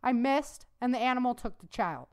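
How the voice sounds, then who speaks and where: An earnest young male soldier, voiced by a woman reading a story aloud. He answers his superiors straightforwardly and humbly, his smooth voice moving lively up and down. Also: fairly high pitch, moderate pace. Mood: respectful. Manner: straightforward, respectful. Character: earnest young male army private, a sharpshooter.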